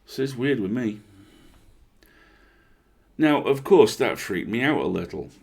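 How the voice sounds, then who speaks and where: A man narrating a horror story in the first person, conversational and deliberate, with dramatic pauses. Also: mid-range voice, expressive, creaky, darkish tone, husky.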